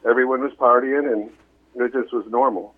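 A man's voice: medium pitch, steady tone, moderate pace. A middle-aged American man, a musician guest on a show, chatting casually over a muffled lo-fi call. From United States. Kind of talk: casual chat.

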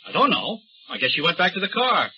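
An actor playing a nervous, indignant young murder suspect who talks defensively in a 1930s radio drama. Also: male voice, high voice, very animated, quick pace, a little hiss or hum. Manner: defensive, indignant, rising to panic. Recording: dull old radio recording.

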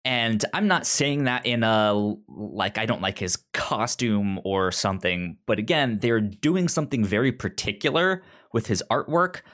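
Podcast guy giving his takes, casual, kinda halting, restarts his words. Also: fairly low pitch, expressive, fairly bright tone. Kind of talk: casual discussion, giving opinions.

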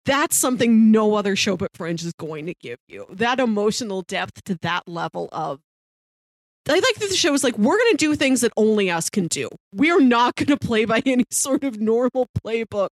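A woman's voice: on the high side, sing-song, normal speed, dry recording. Dry-sounding woman in casual review chat. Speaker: podcast co-host.

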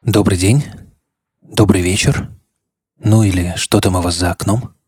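A man's voice: sits low, steady tone, unhurried, a little mellow, husky, no echo. A man hosting a podcast with scripted narration that speaks straight to the listener. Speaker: podcast host.

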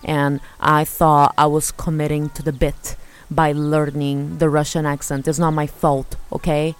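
Low, unhurried woman with an unusual accent. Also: level tone, clean tone, soft background music. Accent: Brazilian Portuguese with Eastern European traces. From Brazil. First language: Portuguese. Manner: self-mocking, laughs often, chaotic asides. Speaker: vlogger. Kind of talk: casual storytelling monologue.

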